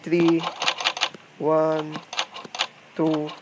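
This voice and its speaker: A woman from the Philippines with a Filipino accent, casually commentating a game she plays, excitable and swearing and counting aloud as she goes. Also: deep voice, extremely slow. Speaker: gaming video host. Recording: loud background noise.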